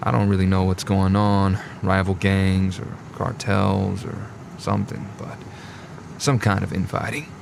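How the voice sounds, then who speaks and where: Man acting a slow, gravelly middle-aged cabbie. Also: low-pitched, flat delivery, background noise. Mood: reassuring. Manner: easygoing, casual, chatty. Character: friendly, chatty middle-aged male cab driver. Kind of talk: audio drama acting.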